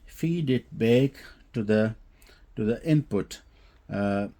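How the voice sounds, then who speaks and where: A man giving an unscripted technical product demonstration, explaining haltingly with frequent hesitations and repeats. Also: lowish voice, lively intonation, dark tone. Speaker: host demonstrating audio equipment.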